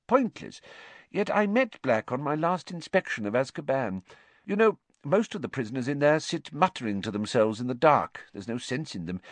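A man voicing a pompous, grave, fussy middle-aged government minister in a storybook, telling things in a measured way. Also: mid-range voice, no echo, pitched above the performer's own voice. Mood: unnerved, astonished.

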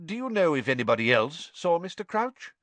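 A man voicing a calm, commanding old wizard headmaster in an audiobook, measured, brisk and terse. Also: on the high side, no echo, voice pushed up. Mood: brisk, sharp. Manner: measured, prompt, terse questions.

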